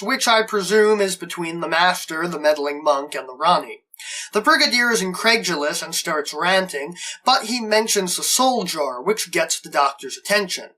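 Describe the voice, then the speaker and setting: A man's voice: high-pitched, sharp tone, poor audio. A man narrating a scripted plot recap as host of a review show.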